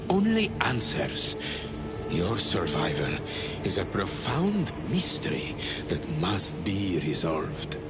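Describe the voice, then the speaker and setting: An actor voicing a zealous, secretive scholarly investigator in a sci-fi video game, with a theatrical, measured, ominous delivery. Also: male voice, low-pitched, wildly swooping pitch, full character voice. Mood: ominous conviction. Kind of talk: dramatic video game acting. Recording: loud music behind the voice.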